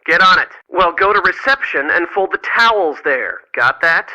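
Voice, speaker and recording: A sharp, bossy, sarcastic spa manager. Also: male voice, sits high, lively intonation, normal speed, no echo, full character voice, rough recording. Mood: curt, commanding. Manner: curt, sarcastic, barking orders. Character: bossy irritable sarcastic male spa manager, secretly crooked. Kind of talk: video game voice acting.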